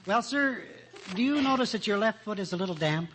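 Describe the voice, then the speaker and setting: A man's voice: high-pitched, animated, brisk. A man playing a maddeningly literal, polite and muddled stranger in an old radio comedy. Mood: cheerfully muddled. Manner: polite, obtuse, wordplay-prone patter. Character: maddeningly literal, muddle-headed man giving useless directions. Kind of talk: 1940s-50s radio comedy acting. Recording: noisy old radio recording with clicks.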